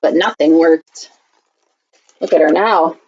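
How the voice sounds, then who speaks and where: A woman teacher reading a children's picture book aloud, expressive and speaking directly to young listeners. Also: low-pitched, slow, gravelly, husky, muffled recording.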